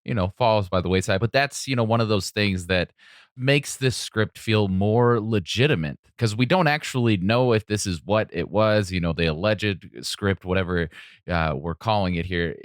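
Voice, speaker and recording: A man's voice: on the low side, moderate pace, no echo, clean studio sound. A man hosting a podcast, talking through a film in a conversational, analytical way. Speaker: podcast host. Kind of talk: casual film discussion.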